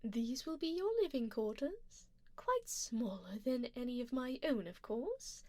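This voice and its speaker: A woman playing a refined, doting vampire duchess in an ASMR roleplay, soft-spoken and slipping into whispers, with a genteel, gently commanding manner. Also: fairly high pitch, big pitch swings, measured pace, no echo. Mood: haughty, amused. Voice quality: soft, breathy whispered passages. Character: refined, doting, possessive female vampire duchess, playfully condescending. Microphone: close binaural microphone, dry.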